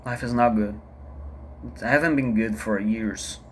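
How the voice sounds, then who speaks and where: Slow, halting Brazilian man in his thirties. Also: fairly low pitch, a little hiss or hum. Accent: Brazilian Portuguese. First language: Portuguese. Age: 30s. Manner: halting, repeats himself, heavy and subdued. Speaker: channel creator. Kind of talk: confessional solo vlog. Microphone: camera recording himself.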